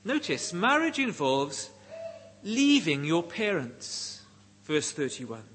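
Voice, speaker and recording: A middle-aged man with a Welsh accent preaching a sermon in deliberate, emphatic phrases with pauses. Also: on the high side, big pitch swings, a bit bright, a little hiss or hum. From Wales.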